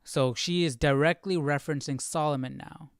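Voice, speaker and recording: A man's voice: fairly high pitch, fairly even intonation, normal speed. A man hosting a live Bible study, thinking aloud in casual commentary and puzzling through questions as he goes.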